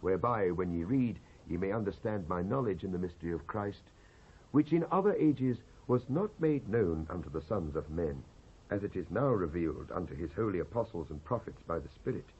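British actor reading scripture with sweeping intonation. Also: male voice, deep voice, expressive, a little mellow, a little hiss or hum. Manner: solemn, declamatory, measured phrasing with pauses. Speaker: Bible reader, actor. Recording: dull lo-fi cassette transfer.

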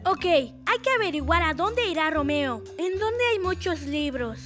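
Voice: very high-pitched, expressive, unhurried, a bit bright, full character voice. An actor voicing a careful, earnest young boy cat superhero in a children's cartoon, in a cautioning manner. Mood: alert. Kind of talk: animated children's cartoon dubbing. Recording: music behind the voice.

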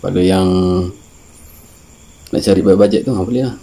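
Man, Malaysian accent, low pitch, dark relaxed tone, informal unboxing talk, halting. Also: gravelly, a little hiss or hum. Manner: halting, informal, searching for words. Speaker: fishing channel vlogger. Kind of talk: casual unboxing chat. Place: small room indoors with guitars on the wall.

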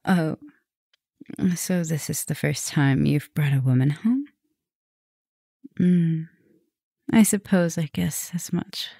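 A woman playing a flirtatious, softly dominant vampire professor in an ASMR roleplay, intimate and teasing, sometimes dropping to a whisper. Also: low voice, slow, creaky, pauses often, breathy edge, no room sound, studio quality, heavily acted. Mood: teasing, flirty. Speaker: roleplay audio performer.

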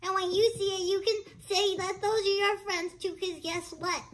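A woman voicing an excitable, friendly little girl puppet on a children's show, with a childlike, eager, wordy manner. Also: very high voice, normal speed, voice pushed up. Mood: excited. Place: home bedroom set.

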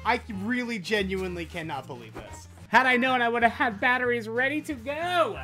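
A man's voice: very high-pitched, animated, normal speed, pushed and bright, faint music behind. A man in his late twenties with an American accent, hosting a toy unboxing in a gushing, theatrical way into a desk microphone. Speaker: host of a toy unboxing show. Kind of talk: excited unboxing chat to camera. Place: small room full of toy shelves, indoors. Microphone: desktop USB condenser microphone.

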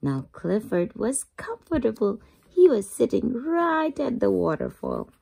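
A woman reading a children's picture book aloud as a storyteller, with expressive, drawn-out emphasis for young listeners. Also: a little high, gravelly, husky.

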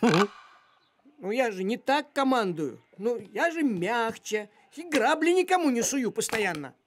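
An actor voicing a bossy, fussy talking farm cat in an animated cartoon, with a commanding, nagging, excitable manner. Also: medium pitch, takes it slowly, a little hiss or hum. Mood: defensive. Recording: music behind the voice.

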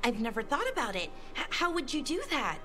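A woman voicing an earnest, curious elven scholar in a fantasy video game, haltingly thinking aloud. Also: fairly high pitch, big pitch swings, pushed and bright, a little hiss or hum. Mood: thoughtful.

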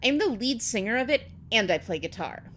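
A woman's voice: a little high, big pitch swings, brisk, a bit bright. A woman voicing a melodramatic, angsty teenage goth girl, petulant and indignant, in a dramatic reading of amateur fan fiction. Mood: self-important. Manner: overwrought, indignant, emphatic.

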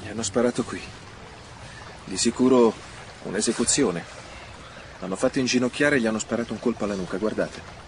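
An actor playing a matter-of-fact investigator at a crime scene in a radio drama. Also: male voice, on the low side, brisk, long pauses, lightly acted. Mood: clinical, grim.

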